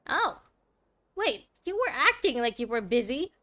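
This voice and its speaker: A woman's voice: high voice, very animated, measured pace, pushed and bright. A woman streaming a video game, giving casual, reactive commentary as she muses informally on what she plays. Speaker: gaming streamer. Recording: game music behind the voice.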